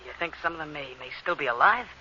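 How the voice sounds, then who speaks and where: A suspicious male space crewman, speaks quickly and insistently in a fairly high, very tense voice. It comes from a muffled old radio drama. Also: animated, bright, forceful tone, slight background noise. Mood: uneasy.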